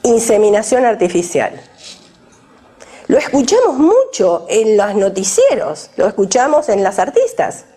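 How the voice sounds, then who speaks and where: A woman's voice: medium pitch, huge pitch swings, unhurried, mellow, slightly rough. A woman from Argentina, preaching a sermon to a women's gathering and questioning the audience directly.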